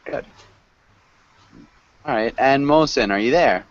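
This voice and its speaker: A man teaching a conversational online group class, encouraging and gently correcting learners. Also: mid-range voice, slow, no room sound. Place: group video call. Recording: muffled, lo-fi online call audio.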